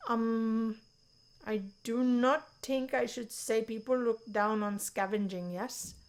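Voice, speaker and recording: A woman's voice: a little high, steady tone, slow, smooth. A woman voicing an earnest, literal-minded young male student who speaks in a stilted, formal way. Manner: stilted, formal, without contractions.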